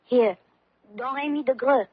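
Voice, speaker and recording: A woman's voice: high-pitched, a little slow, breathy edge. An actress playing a solemn, steadfast nineteen-year-old French peasant girl, devout and defiant, in a radio drama. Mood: calm, steadfast. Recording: dull lo-fi old radio recording.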